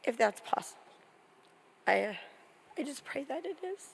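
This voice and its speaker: A middle-aged woman giving a halting, tearful remembrance speech as a mother at a memorial service, speaking into a microphone. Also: high voice, very animated, gravelly, slightly rough.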